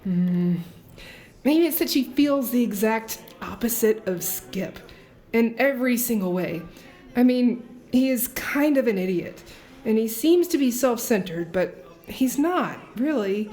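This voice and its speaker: A woman's voice: on the high side, lively intonation, measured pace, dark tone. A woman playing a wry, skeptical young woman. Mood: musing. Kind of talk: audio drama acting.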